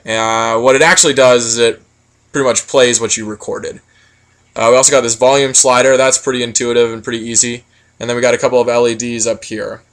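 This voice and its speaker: A man's voice: mid-range voice, level tone, fairly quick, fairly bright tone. A man giving a casual product critique, explaining things in a rambling, matter-of-fact way. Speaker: product reviewer.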